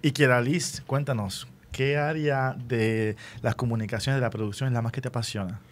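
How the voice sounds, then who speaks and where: A man's voice: medium pitch, fairly even intonation, moderate pace. A man conducting a conversational radio interview, speaking in an encouraging, explanatory manner.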